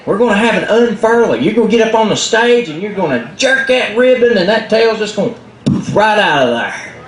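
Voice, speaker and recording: A man doing a sly little cricket barber, high and coaxing. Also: sing-song. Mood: urgent, coaxing. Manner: coaxing, fast and soothing. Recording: roomy echo.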